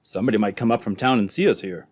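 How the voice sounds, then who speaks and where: A somewhat low-pitched henchman from an old-time radio crime adventure, played by a man. He is uneasy and hesitant, a worrier who doubts the scheme. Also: racing, darkish tone, lightly acted. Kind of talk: re-created old-time radio drama acting.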